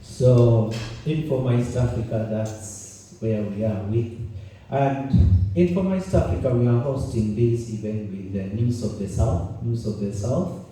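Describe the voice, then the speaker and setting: A man's voice: mid-range voice, a little slow, mellow, recorded from a distance, a little hiss or hum. A Kenyan man hosting a conference, talking in a chatty, jokey way with many restarts. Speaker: event host and journalist. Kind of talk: conference opening speech. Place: conference room. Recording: roomy hall, mic set back.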